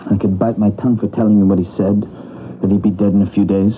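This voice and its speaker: Actor as a composed gentleman lead, phone-thin broadcast. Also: lowish voice, level tone, quick pace, a little hiss or hum, close to an everyday voice. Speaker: lead character and narrator of the drama. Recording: tinny old radio broadcast.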